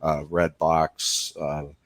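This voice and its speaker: A man's voice: very deep voice, flat delivery, extremely slow, no echo. A man talking conversationally as a documentary filmmaker being interviewed.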